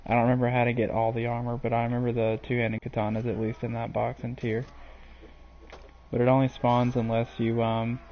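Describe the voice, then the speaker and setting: A man's voice: mid-range voice, completely flat delivery, brisk, a little hiss or hum. A man talks through his gameplay live, drifting from point to point with lots of ums and uhs. His voice sits in an ordinary middle range. The mic sounds dull and lo-fi. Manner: rambling and hesitant, many fillers. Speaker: game streamer.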